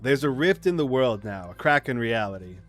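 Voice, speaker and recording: A man voicing a haunted country boy recounting his childhood, reading visual novel narration plainly and a little haltingly. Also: medium pitch, sing-song, faint music behind, close to an everyday voice. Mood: somber, reflective.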